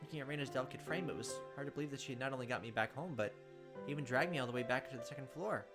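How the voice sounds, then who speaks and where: A man hosting a let's play at a quick pace, reading the story aloud with dramatic flair and stopping for joking asides. Loud game music plays behind his voice. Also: medium pitch.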